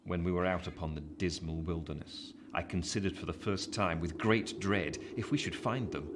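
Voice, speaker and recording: A man plays the narrator of a radio drama, looking back soberly on his boyhood. The voice is deep and reads as scripted storytelling, with clear noise behind it. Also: normal speed, close to an everyday voice. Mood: reflective, dramatic. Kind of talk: scripted first-person narration in a radio play. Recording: noisy background ambience.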